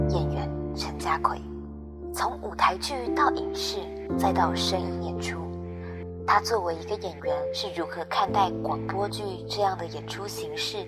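A woman reading a scripted podcast introduction as its presenter. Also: fairly low pitch. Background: prominent music.

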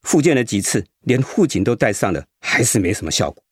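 An actor playing an overworked, dutiful middle manager and husband, weary and put-upon, in a radio drama. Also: male voice, lowish voice, sing-song, fairly quick, husky, no room sound, clean studio sound.

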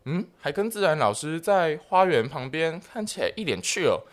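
A man's voice: on the high side, very animated, moderate pace. A man voicing a bossy, nosy school disciplinary director with a Taiwanese accent in a comic radio play. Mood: curious muttering. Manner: barking orders, suspicious, muttering asides.

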